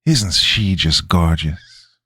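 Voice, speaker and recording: A man's voice: low voice, takes it slowly, pushed and bright, no room sound, clean studio sound, exaggerated voice. A man voicing a mocking, sadistic demon in a horror story, with a guttural, whispery voice and a taunting manner. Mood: cruel, leering. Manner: taunting, voice shifting between growl, sing-song and breathy whisper.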